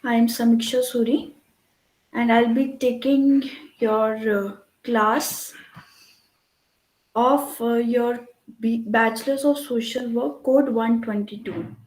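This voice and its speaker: A woman's voice: on the high side, steady tone, slow pace, a little mellow. A woman giving an online lecture, explaining at length and checking in with "right?". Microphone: computer mic on a video call. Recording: echoey room.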